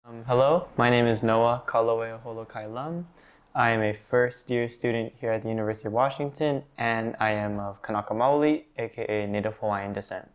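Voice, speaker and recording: A man's voice: medium pitch, a little fast, clean tone. A young man, a student, answering discussion questions in a thoughtful, hedging way. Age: young adult. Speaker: student panelist. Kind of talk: class discussion.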